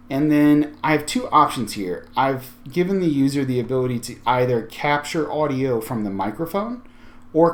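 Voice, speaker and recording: A man with a medium-pitched voice walks through a software tutorial. He talks in a conversational, explanatory way, as a presenter guiding viewers through a screen demonstration. His delivery is informal, often thinking aloud as he explains. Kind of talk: casual software screencast walkthrough.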